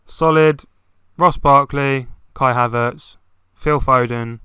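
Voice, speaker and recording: A young man chatting casually about football, dropping "like" into nearly every phrase. His voice is bright and very clear. The sound is dry and close, with little room. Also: medium pitch, steady tone, takes it slowly, no room sound. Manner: chatty, many "like" fillers. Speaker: podcast co-host.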